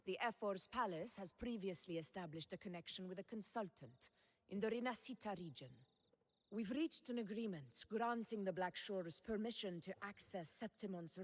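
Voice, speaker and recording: A woman playing a composed female ruler from a fantasy game, medium-pitched and authoritative. Her formal, measured words ring with long hall reverb. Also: natural voice. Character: composed, commanding female ruler of a warrior state.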